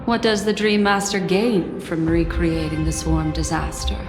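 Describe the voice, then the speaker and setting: A woman playing a cool, sceptical observer in a video game, dry and measured. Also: on the low side, close to an everyday voice. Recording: echoey hall reverb, loud music.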